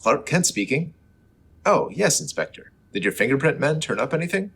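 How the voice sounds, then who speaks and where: An actor playing an earnest, exasperated superhero reporter in a comic old-time radio serial style, with formal, dramatic exclamations. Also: male voice, mid-range voice, very animated, normal speed, fairly bright tone, slightly rough, exaggerated voice. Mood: alarmed, grave.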